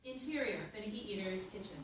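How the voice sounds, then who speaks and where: A woman reading stage directions aloud at a script table read, in a steady, matter-of-fact way. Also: mid-range voice, fast talker. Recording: echoey room, far mic, noise.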